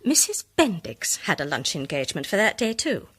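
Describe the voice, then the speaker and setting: Radio drama: self-assured woman sleuth, articulate and deliberate, theatrical reveals, slightly husky, animated pitch. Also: full character voice. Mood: confident, deliberate. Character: shrewd, self-assured woman amateur detective laying out her case. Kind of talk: British radio drama acting.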